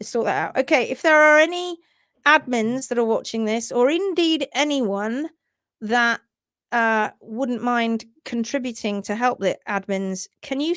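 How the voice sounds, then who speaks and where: Fast-talking woman doing a live stream, higher voice, repeats stuff, laughs a lot, dry close sound. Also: fairly bright tone, no echo. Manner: rapid-fire, repeats words, chatty; laughs often. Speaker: livestream host, hoof care teacher. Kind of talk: casual live-stream teaching and reading viewer posts. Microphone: livestream setup.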